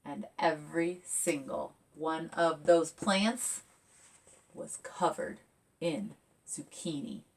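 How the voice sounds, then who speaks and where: A woman children's author talking and reading a picture book aloud for young listeners in an expressive, engaging way, as if asking them along. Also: low voice, slow pace, far from the mic, slight background noise.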